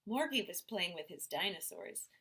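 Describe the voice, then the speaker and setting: A woman teacher reading a picture book aloud to young children in an expressive storytelling style. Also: lowish voice, slightly rough. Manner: slow, expressive, addressing children directly.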